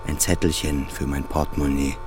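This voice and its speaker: A man's voice: very deep voice, level tone, moderate pace, heavy background noise. A man delivering scripted, introspective first-person literary narration as in a radio play, in short clipped phrases.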